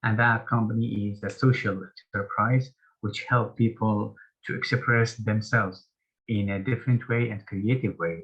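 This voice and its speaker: A man's voice: lowish voice, fairly bright tone. A man from Syria introducing himself as a panelist over a video call, friendly, with loose phrasing and restarts. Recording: dull lo-fi call audio.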